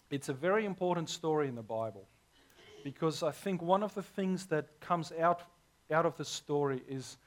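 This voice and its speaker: Hoarse preacher man. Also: on the high side. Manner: earnest, rising to emphatic preaching. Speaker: pastor. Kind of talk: sermon.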